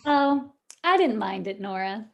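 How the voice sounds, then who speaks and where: A woman's voice: medium pitch, lively intonation, unhurried, vocal fry. A woman playing a sweet, fearful young bride in a radio play. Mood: coy.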